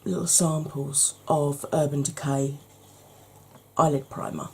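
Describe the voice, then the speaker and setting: A woman with a low, gravelly voice talks through a makeup tutorial, hoarse from hay fever. Her tone is mellow and husky, and she chats casually to the viewer, with plenty of ums along the way. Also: fairly even intonation, takes it slowly. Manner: chatty, addresses viewer directly, frequent ums.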